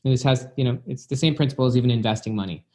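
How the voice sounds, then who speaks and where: A man's voice: mid-range voice, racing, warm tone. A man speaking as a guest in a conversational, motivational style, earnest and often circling back to repeat his point.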